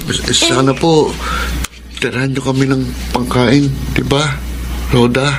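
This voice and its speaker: An actor playing a dutiful, hard-working teenage son in a radio drama, speaking meekly and earnestly. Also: male voice, medium pitch, flat delivery, unhurried, noisy background, natural voice. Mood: meek, pleading. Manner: meek, hesitant, earnest.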